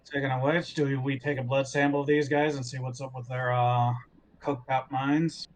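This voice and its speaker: A man's voice: mid-range voice, flat delivery, moderate pace, rough recording. A man talking in character as a player in a tabletop roleplaying game, wordy and cautious as he reasons things out. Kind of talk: casual in-character discussion. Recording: home recording with faint noise.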